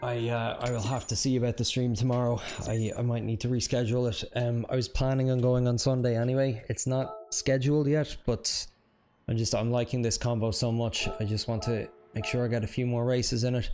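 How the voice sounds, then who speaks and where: A man's voice: mid-range voice, flat delivery, fairly quick, darkish tone. A young man, feeling unwell, chatting casually and self-deprecatingly as he streams a racing game. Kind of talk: casual live game commentary.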